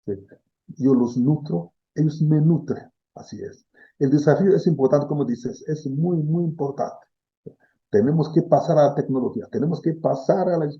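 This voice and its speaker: A man's voice: mid-range voice, vocal fry, dark tone, breathy edge, muffled recording. A middle-aged man from the Democratic Republic of the Congo, a philosophy professor explaining ideas thoughtfully, often checking in with "¿sí?". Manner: thoughtful, repeats key words, frequent "¿sí?" check-ins. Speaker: philosophy professor, interview guest. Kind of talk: reflective explanation.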